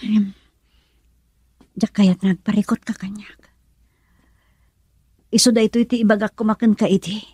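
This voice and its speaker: A gravely ill young woman in love. Her voice is husky and breathy, recorded close and dry. She speaks tenderly and haltingly, resigned and sorrowful, often sinking toward a whisper in romantic radio drama style. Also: mid-range voice, steady tone, no echo. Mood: sad, confessing. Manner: soft, halting, emotional delivery, often near whisper.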